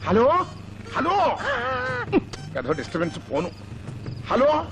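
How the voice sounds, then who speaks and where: A man's voice: high voice, huge pitch swings, slow, over music, heavily acted. An actor playing a stingy, suspicious middle-aged father in a film comedy, fretful, scolding and sarcastic. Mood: agitated on phone. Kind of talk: Telugu film comedy acting.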